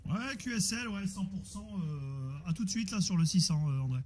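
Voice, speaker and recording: A man's voice: high-pitched, expressive, moderate pace. A man talking casually as an amateur radio operator over a radio link, repeating himself with frequent tag words. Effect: radio transmission. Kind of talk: casual radio contact chat. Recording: muffled radio signal, faint static.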